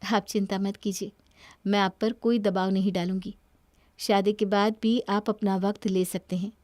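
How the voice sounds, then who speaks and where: A woman's voice: mid-range voice, level tone, normal speed, darkish tone, dry recording, natural voice. A woman voicing an understanding young bride-to-be in a cartoon story, polite and reassuring. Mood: calm, reassuring.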